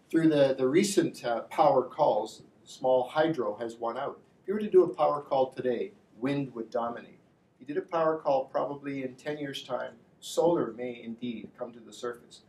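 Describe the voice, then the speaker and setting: A middle-aged man gives a public presentation at a panel, speaking in a measured, halting way with emphatic repeats. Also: mid-range voice, a little mellow. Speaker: industry association representative, panel speaker. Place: indoor lecture room with slide screen. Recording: echoey room, distant mic, faint noise.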